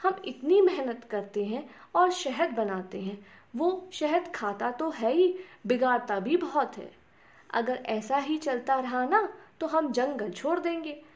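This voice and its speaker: A woman's voice: sits high, expressive, slow. A woman voicing troubled, hardworking honeybees in a children's storybook, plaintive and complaining. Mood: upset, pleading.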